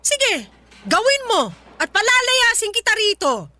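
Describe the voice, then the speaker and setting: A woman's voice: extremely high pitch, sing-song, measured pace, heavily acted. A woman playing a defensive, hot-tempered middle-aged mother, scolding stubbornly in a Tagalog radio drama. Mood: threatening, defensive. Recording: music underneath.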